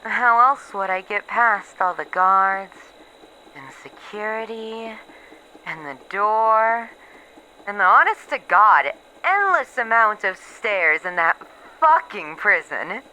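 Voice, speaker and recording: A woman playing a bitter, weary researcher losing her memories, in a halting, sardonic, sighing monologue for an ASMR horror roleplay. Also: mid-range voice, expressive, pushed and bright, a little hiss or hum, low-quality recording. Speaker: voice actor in an audio roleplay.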